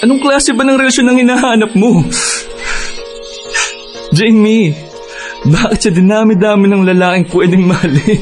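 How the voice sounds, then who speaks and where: A man's voice: high-pitched, normal speed, long pauses, a little mellow, faint music behind, close to an everyday voice. A man acting as a heartbroken young boyfriend in a Filipino radio drama, pleading emotionally with his ex-girlfriend. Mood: desperate, pleading.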